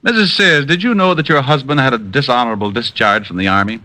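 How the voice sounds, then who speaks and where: A man's voice: medium pitch, bright, forceful tone, no room sound, lightly acted, low-quality recording. An actor playing a tough, wisecracking male private detective in an old radio drama, who also narrates the story. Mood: wry. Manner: wisecracking, quick comebacks. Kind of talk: 1950s radio drama acting.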